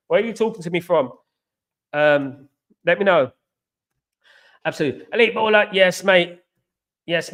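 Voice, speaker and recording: Male stream host, high voice, loose repetitive chat reading out viewer comments. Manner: chatty, repeats himself, shouts out viewers. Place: China.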